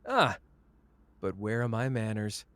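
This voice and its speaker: A man's voice: lowish voice, expressive, measured pace, a bit bright, slight background noise. A man playing a cocky, flamboyant young sorcerer who teases the listener in a playful, smug roleplay audio drama. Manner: playful, smug, drawling teasing.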